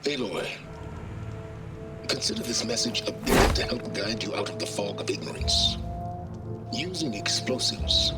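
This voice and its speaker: A man's voice: medium pitch, lightly acted. A man playing a learned, condescending, coldly smug older schemer leaving a recorded message in a video game. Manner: deliberate, condescending. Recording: distant recorded message under loud music.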